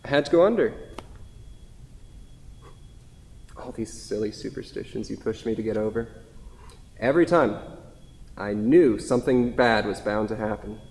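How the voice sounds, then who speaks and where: A man's voice: lowish voice, a little fast, creaky, a little mellow. Man acting a wistful grieving widower, echoey hall. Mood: wistful, rueful. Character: grieving widower, wistful, gently rueful husband. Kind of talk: staged play reading. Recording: echoey hall with long reverb.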